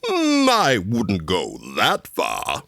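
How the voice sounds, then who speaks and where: A man's voice: fairly low pitch, huge pitch swings, drawn-out words, vocal fry, fairly bright tone, dry recording, clean studio sound, full character voice. An actor playing a playfully macabre host of an old-time radio horror show, theatrical and teasing as he relishes the gruesome. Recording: music under the voice.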